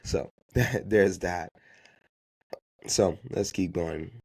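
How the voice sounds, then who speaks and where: A man with a fairly low voice and Haitian Creole as his first language hosts a motivational business podcast. He talks off the cuff and emphatically, repeating his key phrases and throwing out rhetorical questions to drive points home. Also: steady tone, extremely slow, creaky, darkish tone. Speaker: podcast host giving networking advice. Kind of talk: unscripted motivational business talk.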